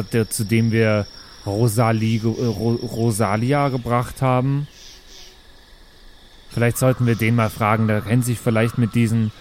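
A man's voice: lowish voice, a little mellow, slight background noise. A man improvising casual, flippant in-character talk as a player in a tabletop game. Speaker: role-player playing a dwarf brother.

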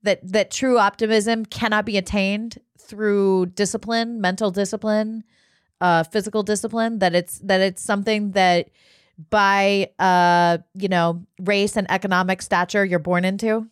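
A woman from Ohio with an American accent, hosting a conversational discussion in an articulate, measured way. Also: medium pitch, steady tone, clear, resonant tone, no room sound, studio quality. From Ohio, United States. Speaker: podcast host.

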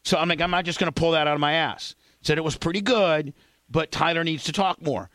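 A man's voice: fairly high pitch, brisk, a bit bright, no echo, studio quality. Crude, teasing middle-aged male host. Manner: profane, teasing, rambling. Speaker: radio show host. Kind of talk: crude casual radio banter.

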